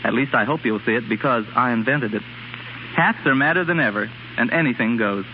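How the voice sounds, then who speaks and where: A man's voice: mid-range voice, brisk, slight background noise. Man on muffled 1930s radio. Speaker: fashion designer guest. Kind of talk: scripted 1930s radio guest talk with product endorsement.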